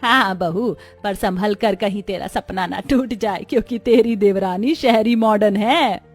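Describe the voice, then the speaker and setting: A woman's voice: medium pitch, a little slow, close to an everyday voice. Kindly village mother-in-law acted by a woman. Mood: cautioning. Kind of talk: scripted cartoon dialogue.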